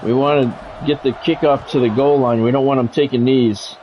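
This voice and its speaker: A man's voice: medium pitch, normal speed, lo-fi sound, a little hiss or hum. A middle-aged or older man giving live play-by-play of a football video game in short, clipped announcer phrases. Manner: announcer-like, short clipped phrases, excited calls on big plays.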